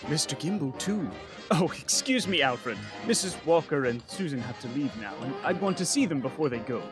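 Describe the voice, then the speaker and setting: A man playing a kindly, jolly old Santa Claus in a radio drama, gentle and avuncular. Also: on the high side, animated, normal speed, music in the background, natural voice. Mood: delighted, excited. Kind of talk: radio play acting.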